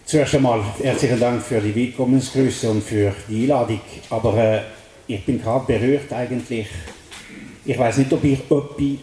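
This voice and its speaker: Swiss french guy speaking german, earnest and halting, dark mellow voice, echoey hall. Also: mid-range voice, a little hiss or hum. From French-speaking Switzerland. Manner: earnest, halting with many fillers. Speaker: guest speaker.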